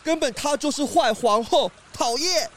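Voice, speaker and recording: A woman's voice: sits high, normal speed, a little hiss or hum, lightly acted. A woman voicing a sulky, tearful young troupe member who stammers in a children's audio drama. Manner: pouting, stammering.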